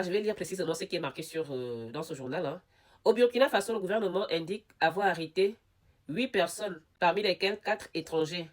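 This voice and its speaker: A woman with a head cold giving casual news commentary, talking straight to her viewers and repeating words for emphasis. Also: fairly low pitch.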